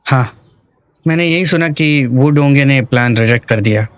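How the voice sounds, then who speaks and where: A man's voice: mid-range voice, normal speed, clear, resonant tone, no room sound, close to an everyday voice. A young man playing a concerned, questioning office worker in a dubbed drama. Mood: explaining. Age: young adult.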